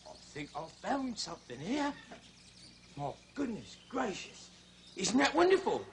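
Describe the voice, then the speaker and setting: A man's voice: sits high, measured pace, slight background noise. A man playing a fussy, chattering comic zoo show host. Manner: excitable, chattering, fussy showman.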